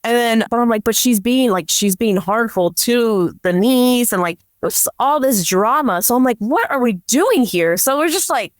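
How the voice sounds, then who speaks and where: A woman's voice: on the high side, animated, moderate pace, few pauses, no echo, clean studio sound. A woman speaking as a podcast guest, telling work anecdotes casually and informally, peppered with "like" and quoted dialogue. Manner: chatty, informal, heavy use of "like", quotes dialogue. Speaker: podcast guest, police dispatcher.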